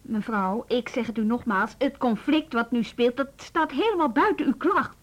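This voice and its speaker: An actress in a Dutch radio drama playing an embattled music school teacher, formal, hesitant but firm. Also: female voice, fairly high pitch, normal speed, lightly acted. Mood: firm, restrained.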